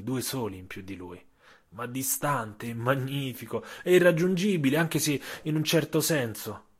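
A man narrating a scripted audio story in a theatrical way, with emphatic phrases, deliberate pauses and incantatory repetition. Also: mid-range voice, slightly rough. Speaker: author and narrator.

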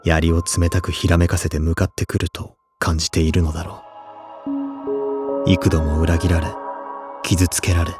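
An actor playing a serious, reserved high school boy, speaking in a stiff, earnest way in an anime audio drama. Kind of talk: anime audio drama dialogue and letter reading.

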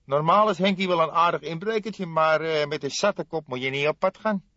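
A man voicing a scrawny, excitable café owner from the underworld in an audiobook, talking emphatic street slang. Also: fairly high pitch, a little fast, no room sound, voice pushed up. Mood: resigned. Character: scrawny indignant small-time underworld café owner, male. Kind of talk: audiobook character acting. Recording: slightly dull, dry studio recording.

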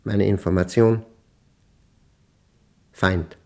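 An actor playing a brooding, obsessive mathematician who narrates his diary in a German radio play, speaking solemnly in deliberate, clipped fragments. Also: sits low, steady tone, dark tone, heavily acted. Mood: tense, obsessive.

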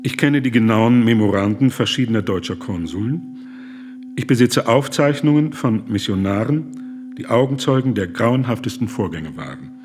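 A man's voice: mid-range voice, lively intonation, close to an everyday voice. Actor as earnest, indignant humanitarian man. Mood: firm rebuttal. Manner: deliberate, formal, morally urgent. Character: earnest, indignant German humanitarian pleading against deportations. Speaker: actor in a radio drama. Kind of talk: German radio play acting.

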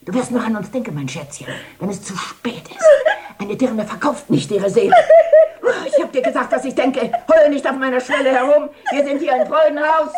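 A woman's voice: high-pitched, lively intonation, moderate pace. An actress playing a scornful, mocking brothel woman in a radio play, taunting and theatrical. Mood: threatening. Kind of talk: German-language radio play acting, 1970.

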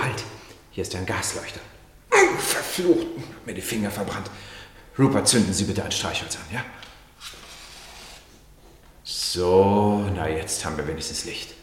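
A man in a radio play acting an earnest, blunt gentleman adventurer who is formally polite and emphatic. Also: low voice, slight background noise. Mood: wary. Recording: echoey radio play sound.

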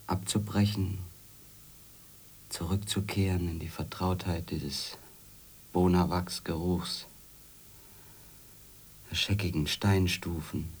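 A brooding obsessive ex-editor in a radio play, deep husky voice, bitter, halting, half whispering. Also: male voice, a little mellow, full character voice. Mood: wistful, halting. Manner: brooding, halting, literary, often dropping to a whisper. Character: haunted, obsessive, bitter unemployed former editor, male. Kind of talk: radio drama inner monologue.

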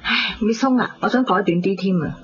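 A woman's voice: mid-range voice, a little fast, a little hiss or hum, close to an everyday voice. A woman playing a fussy, demanding shop customer in a Hong Kong Cantonese radio drama. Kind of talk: 1990s radio drama acting. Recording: muffled 1990s radio, faint music. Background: faint music.

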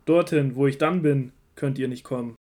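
A man playing an earnest, commanding male teacher preaching in an audio drama, declamatory and deliberate. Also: medium pitch, darkish tone, lightly acted. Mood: solemn.

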